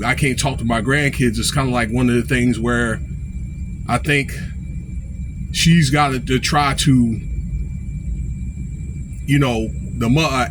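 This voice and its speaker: A man giving informal, unscripted commentary in a halting way, often checking in with short tags like okay and all right. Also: medium pitch, background noise. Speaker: online commentator.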